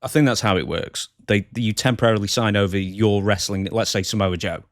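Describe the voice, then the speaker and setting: Low-voiced man in a dry room. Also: a little fast, no echo. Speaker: podcast co-host. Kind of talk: casual podcast chat.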